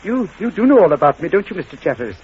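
A shy middle-aged English schoolmaster in a vintage radio play, with a fairly high, very mobile voice. He stammers and fusses formally. The recording is dull and a little noisy. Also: very animated, rapid-fire. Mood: defiant. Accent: British. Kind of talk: old radio drama acting.

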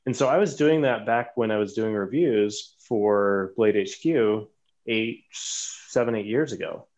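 A man talking as a podcast guest, telling stories in an animated way with halting restarts. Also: lowish voice, highs cut off. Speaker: podcast guest, knife designer. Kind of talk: casual chat.

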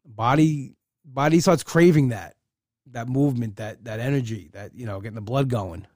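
A man's voice: mid-range voice, moderate pace, a little mellow, no echo, studio quality. A middle-aged man talking casually and candidly in a rambling personal monologue, often restarting his sentences.

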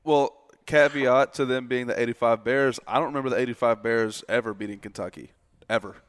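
A man's voice: mid-range voice, steady tone, brisk. A young man riffs in a loose, joking way with his co-hosts on a sports podcast. His voice trails off into a long reverb, as if the room is big and hard-walled. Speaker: podcast co-host. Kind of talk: casual sports chat.

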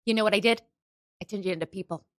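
A woman's voice: mid-range voice, racing, lightly acted. A woman playing the upbeat woman who runs a support-group meeting, earnest and chipper. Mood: proud. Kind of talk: improvised comedy scene.

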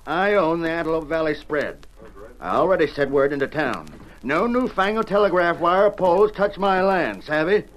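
Vintage radio western, faint noise: a man as a gruff, stubborn old rancher, higher-pitched, blunt and folksy. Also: lively intonation, moderate pace, close to an everyday voice, rough recording. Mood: commanding. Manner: folksy western drawl talk, blunt orders.

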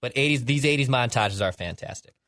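A man's voice: medium pitch, lively intonation, a little fast, a bit bright, a little hiss or hum. A young man co-hosting a podcast in a casual chat, talking emphatically and exaggerating for effect. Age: young adult. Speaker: podcast co-host.

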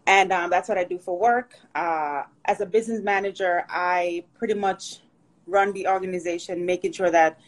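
A woman from Jamaica, chatting as a podcast guest in an upbeat way and often pausing to find her words. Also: fairly low pitch, fairly even intonation. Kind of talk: casual interview chat.

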